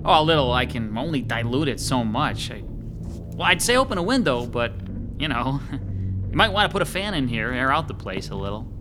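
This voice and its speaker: A man's voice: medium pitch, very animated, normal speed, music in the background, lightly acted. A man playing a chatty, joking handyman with a morbid streak in an audio drama. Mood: breezy, sly. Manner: casual, joking, chuckling.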